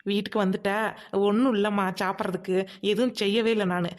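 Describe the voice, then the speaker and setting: A woman's voice: mid-range voice, fairly even intonation, quick pace, close to an everyday voice. A woman voicing a kind village mother-in-law who fusses anxiously over her new daughter-in-law. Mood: tender, fretful. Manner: affectionate, anxious to please.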